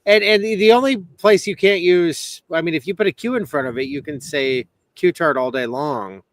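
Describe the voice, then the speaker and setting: A man co-hosting a loose call-in show, his voice sitting a little high and close and dry on the mic. He jokes and rambles, often trailing off mid-thought. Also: moderate pace, dry recording. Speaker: co-host. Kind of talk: casual call-in chat.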